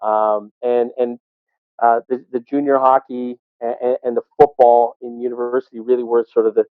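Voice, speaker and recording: A man's voice: medium pitch, flat delivery, normal speed, no room sound. A man answering interview questions candidly and reflectively, as a sports announcer telling his story. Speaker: sports public address announcer. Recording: tinny, phone-like call audio.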